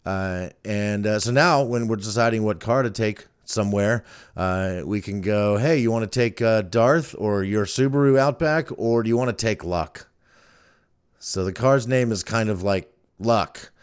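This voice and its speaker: A man's voice: lowish voice, unhurried, clean tone. A man hosting a podcast solo in casual, chatty talk. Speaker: podcast host.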